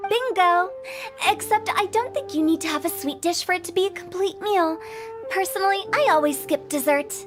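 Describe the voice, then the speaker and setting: A woman's voice: very high-pitched, normal speed, over music. A woman voicing a playful, mischievous young woman who teases and lectures with flair. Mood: teasing. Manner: teasing, sing-song, lecturing with flair.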